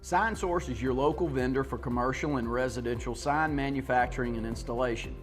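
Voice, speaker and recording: A man reading a scripted radio ad for a local business in a brisk, informative manner. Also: medium pitch. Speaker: ad announcer. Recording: music bed underneath.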